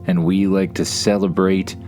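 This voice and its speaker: A man's voice: low voice, level tone, a little slow, darkish tone, soft background music. A man with a Canadian accent hosts and narrates a children's bedtime story in an informal, conversational way, with wry, dry playfulness.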